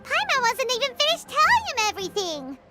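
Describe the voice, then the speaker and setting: A tiny, chirpy female sprite companion who keeps calling herself by name. The voice sits extremely high and skips up and down lively. Game music plays loudly behind her. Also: a little fast, heavily acted. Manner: chatty, refers to herself by name.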